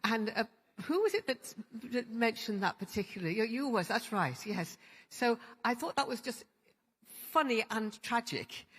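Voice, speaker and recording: A woman moderating a conference panel, chatty and halting with many restarts. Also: mid-range voice. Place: large conference hall. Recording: long hall reverb.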